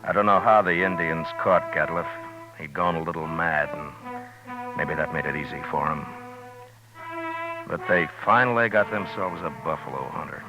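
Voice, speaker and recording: A very deep-voiced, laconic frontier marshal. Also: male voice, normal speed, low-quality recording. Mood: somber. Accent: American. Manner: laconic, grave. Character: world-weary, steady frontier marshal. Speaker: lead actor and narrator. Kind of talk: 1950s radio western acting and narration. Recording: vintage radio recording with music.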